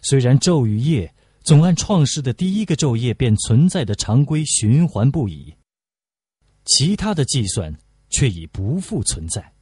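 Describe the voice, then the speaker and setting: A man's voice: mid-range voice, very animated, unhurried, darkish tone, slightly rough, dry recording, clean studio sound. A man narrating a literary audiobook, reading from a script.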